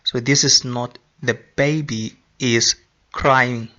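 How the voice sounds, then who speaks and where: A man teaching English grammar, repeating example sentences slowly with frequent ums. He is from Nigeria. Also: mid-range voice, fairly even intonation, bright, forceful tone. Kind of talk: slow, deliberate grammar lesson. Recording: dull lo-fi sound.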